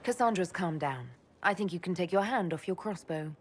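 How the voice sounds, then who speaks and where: A woman acting a calm, heroic elf mage from a fantasy game. Her measured, lowish voice carries a dry tease, with long reverb and faint ambience around it. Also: a little hiss or hum, close to an everyday voice. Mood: calm, conciliatory. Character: composed, gently teasing young elf woman, heroic mage leader. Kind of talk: dramatic video game cutscene acting.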